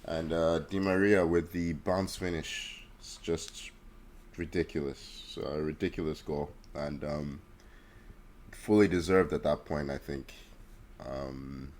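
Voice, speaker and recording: Male podcast co-host, low voice, casual and informal football talk. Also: steady tone, slow pace, darkish tone, slight background noise.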